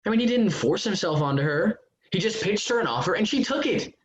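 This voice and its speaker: A man's voice: high-pitched, lively intonation, fairly quick. A man playing a smug, defensive amateur novelist in a staged play reading. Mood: smug, prodding. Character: smug, self-justifying male amateur novelist, defensive about his sleazy book. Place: remote virtual staged reading. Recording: dull, noisy online call audio.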